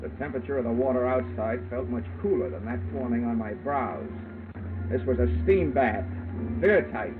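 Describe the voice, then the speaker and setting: An actor playing a wry, hard-boiled insurance investigator, wisecracking and dry, in old-time radio detective style. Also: male voice, mid-range voice, normal speed, lightly acted. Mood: wry narrating. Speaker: lead actor and narrator. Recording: dull vintage radio recording with music.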